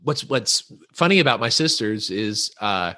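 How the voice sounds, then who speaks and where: A man's voice: fairly low pitch, lively intonation, a little slow, pushed and bright. A man from Northern California, a guest in a casual podcast conversation, talking in a rambling way with many restarts and fillers.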